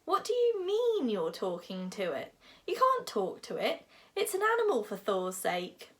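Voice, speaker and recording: A woman's voice: extremely high pitch, huge pitch swings, normal speed, voice pushed up, full character voice. A woman voicing a fretful, incredulous boy who questions everything in astonishment, from a storybook. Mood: astonished. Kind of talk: storybook character voice.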